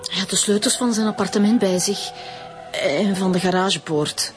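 An actress playing a proud, haughty singing teacher, speaking with theatrical dignity in a radio crime drama. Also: female voice, medium pitch, normal speed, over music, lightly acted. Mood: dramatic confession.

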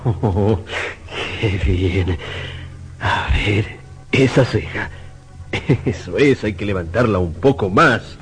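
An actor playing an obsessive, excitable male sculptor in a radio horror drama, with a theatrical, intense manner. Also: lowish voice, takes it slowly, long pauses, soft background music. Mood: absorbed, delighted.

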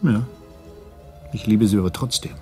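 An actor playing a resigned undercover double agent in a radio drama, terse and defensive. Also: male voice, on the low side, normal speed, a little mellow, soft background music, lightly acted.

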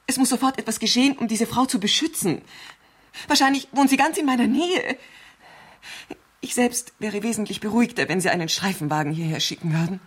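An actress playing an anxious, imperious bedridden rich wife in a 1970s German radio thriller, urgent and impatient. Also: female voice, on the high side, breathy edge. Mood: impatient, insistent. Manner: urgent, agitated, impatient, haltingly insistent.

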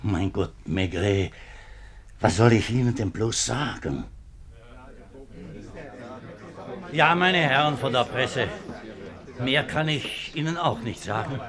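An actor playing an upright, embattled middle-aged government minister in a 1950s German radio drama. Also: male voice, mid-range voice, animated, a little slow, fairly bright tone. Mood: harried, desperate. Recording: 1950s radio play recording.

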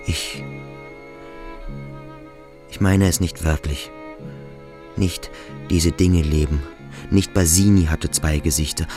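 An actor playing an introspective adolescent boarding school pupil in a radio play, halting and searching with quiet intensity. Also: male voice, deep voice. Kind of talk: German radio play monologue.

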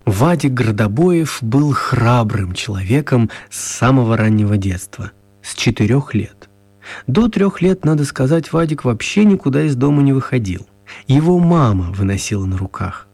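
A male narrator for a children's radio play, his voice a little husky and mid-pitched. He tells the story in a measured, expressive manner with gentle humour.

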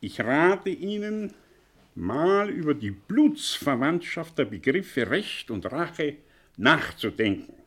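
An actor playing a cynical, condescending professor in a radio drama, mocking and lecturing with rhetorical flourishes. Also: male voice, fairly high pitch, very animated, takes it slowly, fairly bright tone, breathy edge, full character voice. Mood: scornful lecturing. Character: cynical, condescending male professor with a choleric streak. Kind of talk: German radio drama acting.

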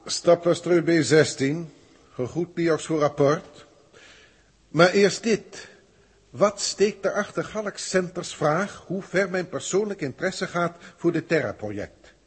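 Radio sci-fi role: senior alien proctor, haughty and irascible, very hoarse-edged, animated intonation. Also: male voice, on the high side, a little slow, full character voice. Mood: indignant, haughty. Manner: pompous, formal, emotionally indignant. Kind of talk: 1960s Dutch radio play acting.

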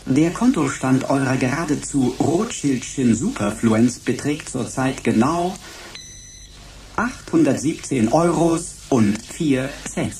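An actor playing a pedantic, mocking computer sidekick in a science-fiction radio play, with flowery turns of speech. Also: male voice, medium pitch, lively intonation, slow pace, a little mellow, slight background noise. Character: snide, pedantic talking computer assistant, male.

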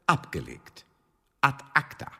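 A stern spymaster in a reverberant hall. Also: male voice, slow pace, bright, forceful tone. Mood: curt, irritated. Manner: curt, sardonic, commanding. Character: stern, dismissive Elizabethan intelligence chief interrogating an agent. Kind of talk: German radio drama acting.

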